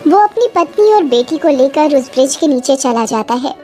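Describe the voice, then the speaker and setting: Female storyteller, high register, scripted dramatic narration over a music bed. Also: lively intonation, moderate pace, warm tone.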